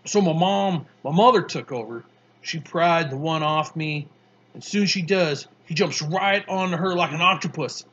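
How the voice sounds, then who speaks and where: A man's voice: fairly high pitch, moderate pace, muffled recording. A man voicing a brash, streetwise young boy who talks in slangy, boastful bursts. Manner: slangy, boastful, excitable storytelling.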